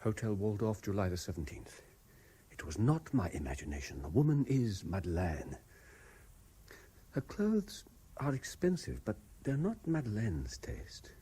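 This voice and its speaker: Deep, haunted, obsessive man. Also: huge pitch swings, warm tone, slightly rough, heavily acted. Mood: brooding, obsessed. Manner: hesitant, halting. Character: haunted, obsessive French man, anxious and intense. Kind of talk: radio drama acting. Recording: 1970s radio drama broadcast.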